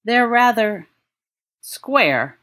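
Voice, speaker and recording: A woman reading fiction aloud as an audiobook narrator, with expressive delivery. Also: a little high, extremely slow. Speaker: volunteer audiobook reader.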